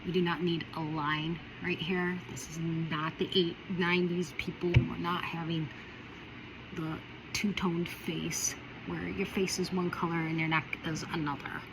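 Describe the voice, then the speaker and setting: Low-pitched lady rambling through a makeup tutorial at home, loud traffic rumbling behind. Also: little pitch movement, unhurried. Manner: chatty, rambling asides, repeats warnings. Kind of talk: casual how-to chat. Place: home, indoors by a window. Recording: loud vehicle noise behind.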